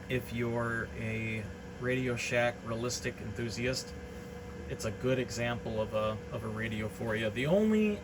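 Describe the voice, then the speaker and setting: Man chatting through a demo, noisy background. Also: mid-range voice. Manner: rambling, unscripted, matter-of-fact. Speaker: hobbyist radio reseller presenting a demonstration. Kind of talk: informal product demonstration.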